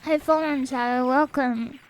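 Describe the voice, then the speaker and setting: A young woman chatting casually on a game stream. She is a little sniffly, speaks softly, and reads out chat messages. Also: high voice, fairly even intonation, measured pace, low-quality recording. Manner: soft-spoken, reads chat aloud. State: sniffly, a bit stuffed up. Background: faint game music.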